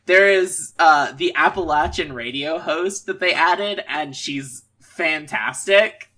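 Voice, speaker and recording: Low-voiced laid-back woman host. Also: takes it slowly, pushed and bright. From Alabama. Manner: loose, swears casually, laughs often. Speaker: podcast co-host. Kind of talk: casual chat.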